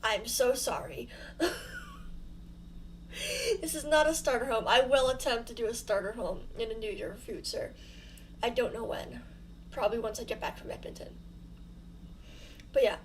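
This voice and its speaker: A woman's voice: fairly high pitch, normal speed, pauses often, a little hiss or hum, rough recording. A young woman hosting her channel, talking casually over a home build in a rambling, self-deprecating, giggly way, her mouth sore from fresh dental fillings. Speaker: YouTuber host.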